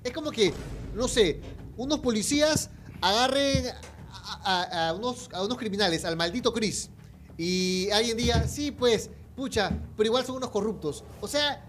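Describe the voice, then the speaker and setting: A man's voice: high voice, moderate pace, a bit bright, a little hiss or hum. A man with a Peruvian Spanish accent hosting a political show in an informal, slangy, insistent way. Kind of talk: casual slangy political chat.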